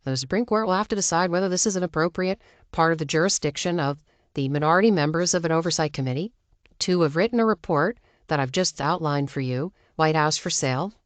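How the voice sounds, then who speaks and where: A woman's voice: low-pitched, fast talker, no echo. An American woman giving opinionated news commentary in an emphatic, argumentative manner, often posing rhetorical questions. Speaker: political commentator.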